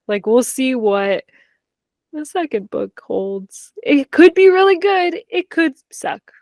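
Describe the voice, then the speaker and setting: A woman's voice: high voice, big pitch swings, slow, darkish tone, no room sound, studio quality. A woman chatting casually and candidly about books as a podcast co-host, leaning on "like" as she talks. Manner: informal, candid, heavy use of "like". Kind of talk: casual book chat between friends.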